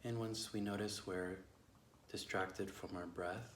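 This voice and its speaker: A man leading a guided meditation as a teacher, speaking gently and slowly with long pauses. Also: lowish voice, fairly even intonation. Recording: echoey room with faint background noise.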